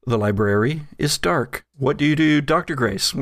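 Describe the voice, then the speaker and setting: A man narrating a gothic tabletop horror game as game master, measured in description and hesitant when he turns to the players. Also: on the high side, expressive.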